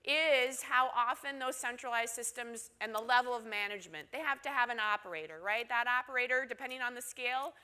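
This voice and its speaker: A woman's voice: on the high side, brisk, hardly any pauses, pushed and bright, clear, resonant tone. A woman from Minnesota with a Minnesotan accent, giving a conference presentation in a chatty way, often asking the audience "right?". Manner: chatty, asks audience questions with tag "right?".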